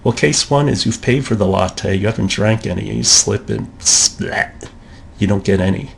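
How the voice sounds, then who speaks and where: A man's voice: fairly low pitch, normal speed, gravelly, slight background noise. A man giving a recorded college lecture in a conversational way, acting out the thoughts of shoppers in quotes.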